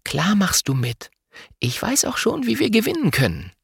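A man acts out a little girl in a storybook, decisive and sure of herself. The voice is raised very high, with animated, eager swings as she takes charge. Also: moderate pace, vocal fry, slightly rough, no room sound, studio quality, exaggerated voice. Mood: confident.

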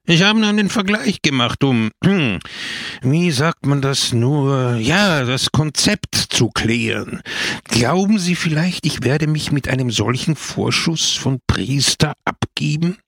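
A man voicing a hot-tempered, bullying village mayor and political agitator in a storybook, loud, blustering and declamatory. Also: medium pitch, measured pace, no room sound, studio quality. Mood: exasperated.

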